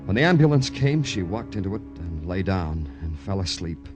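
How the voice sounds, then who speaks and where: Tough deadpan cop from old radio noir, lowish voice, music behind him. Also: male voice, normal speed. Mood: tense recounting. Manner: terse, deadpan, sardonic. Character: hard-boiled, world-weary male police detective narrating his case. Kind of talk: 1950s radio noir acting, poetic narration. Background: dramatic music.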